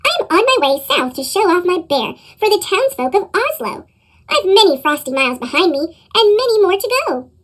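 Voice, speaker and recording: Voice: extremely high pitch, sing-song, moderate pace, fairly bright tone, full character voice. A storyteller voicing a friendly, adventurous young traveling boy in a children's storybook. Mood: polite.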